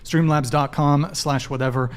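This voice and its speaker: A man hosting a live dating podcast, running through announcements in a brisk, joking way. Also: steady tone, smooth. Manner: brisk, joking sales-pitch delivery. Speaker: podcast host.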